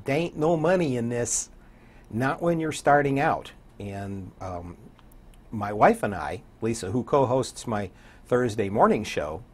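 Husky older man, low-key storyteller. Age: older, around 70. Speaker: guest, radio program director and DJ. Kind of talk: casual interview chat. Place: TV studio set, seated.

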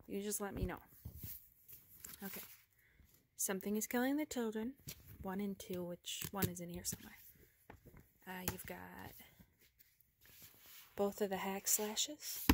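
A woman speaking softly and often whispering in a relaxed ASMR girlfriend roleplay, recorded indoors among bookshelves on a handheld phone. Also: mid-range voice, noisy background. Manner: soft-spoken, drifting into whispers.

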